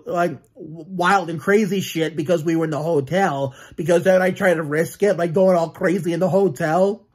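A man's voice: on the high side, a little mellow, husky. A man in his twenties in a casual home vlog, rambling and swearing about his team, with many restarts and fillers. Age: 20s. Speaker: sports fan vlogger. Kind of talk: casual sports vlog rant. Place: small room at home with bookshelves, indoors.

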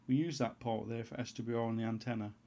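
A man giving an unscripted how-to voice-over as a hobbyist presenter, in a halting way, as if thinking aloud. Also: mid-range voice, steady tone, mellow. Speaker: hobbyist presenter of a homebrew antenna tutorial. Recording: dull, lo-fi sound.

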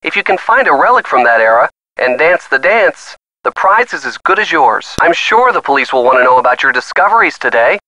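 A man's voice: fairly high pitch, sing-song, a little fast, hardly any pauses, sharp tone, dry recording, poor audio. A man acting an earnest young boyfriend in a video game, giving encouraging hints with folksy turns of phrase. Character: earnest young boyfriend coaching a teen detective by phone. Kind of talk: scripted video game hint lines.

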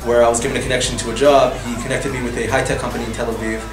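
Flat, hesitant young man in interview. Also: mid-range voice, very fast, echoey room, over music. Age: 20s. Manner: flat, hesitant with many ums. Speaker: former soldier. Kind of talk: interview testimony. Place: indoors against a plain wall.

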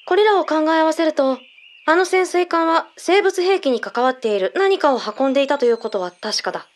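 An actress playing a calm intelligence colleague in an audio drama, measured and matter-of-fact, with blunt masculine phrasing. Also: female voice, high-pitched. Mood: composed, serious. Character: calm intelligence colleague briefing secret findings.

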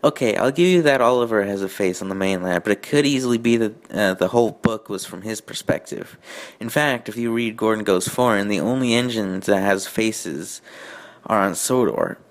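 A man hosting a review show, reading aloud and commenting with mock-indignant, deadpan sarcasm. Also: on the low side, normal speed. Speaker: host of a fan fiction review show.

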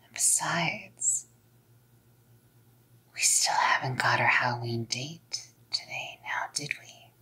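A young woman playing an obsessive, menacingly sweet psycho girlfriend in a whispered ASMR roleplay, cooing and softly threatening. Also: rumbling low, slow, pauses often, slightly rough, exaggerated voice. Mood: gloating, menacing. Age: young adult. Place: small dim room indoors. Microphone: close ASMR mic.

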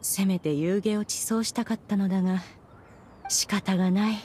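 A woman's voice: medium pitch, steady tone, normal speed, a little mellow, breathy edge, lightly acted. A woman voicing a proud, regal ancient immortal in an anime game, a stern but caring master with stately, archaic diction.

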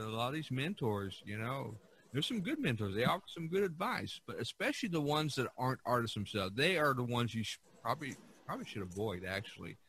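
Man, podcast host, mid pitch, sharp bright voice, halting casual talk. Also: a little hiss or hum.

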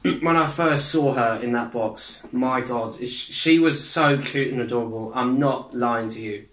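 A young man, chatting in a rambling way for a reaction vlog in his bedroom, restarting sentences, clearing his throat and swearing often. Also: medium pitch, a bit bright. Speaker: vlogger reacting to videos. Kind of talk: casual reaction vlog chat. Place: small bedroom indoors.

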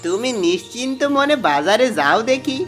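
A man's voice: sits high, very animated, normal speed, a bit bright, soft background music. A man voicing a greedy, scheming married villager in a cartoon, with a theatrical, exclamatory delivery. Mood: sly, smug. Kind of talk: Bengali cartoon voice acting.

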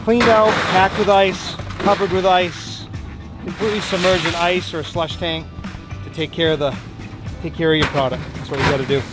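A middle-aged man, a charter boat captain, calmly explaining how the catch is cleaned and packed in ice. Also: high-pitched, normal speed, loud music behind the voice. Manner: matter-of-fact, instructive. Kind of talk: explaining fish handling. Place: outdoors on a boat at sea.

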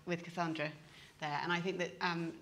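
An animated woman in her thirties with a low voice speaks on a business panel. She gestures as she talks into a lapel mic in a university hall. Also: brisk, a little hiss or hum. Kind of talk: panel discussion.

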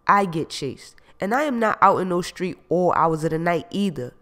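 A woman's voice: lowish voice, moderate pace, a little mellow, pitched above the performer's own voice, close to an everyday voice. A man reading an audiobook, voicing a sassy young woman who brushes off her ex. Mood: defiant. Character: young woman, cool and dismissive, then defiant.

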